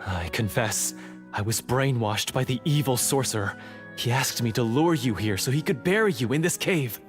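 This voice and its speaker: A man playing an earnest young repentant hunter in a video game, halting and a little theatrical. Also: medium pitch, animated, close to an everyday voice. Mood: theatrically contrite. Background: game music.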